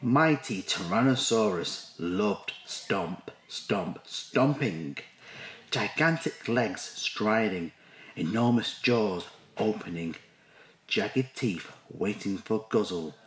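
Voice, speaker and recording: A man reading a children's picture book aloud in measured short phrases with pauses. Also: lowish voice. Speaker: school staff reader. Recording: roomy, echoey sound.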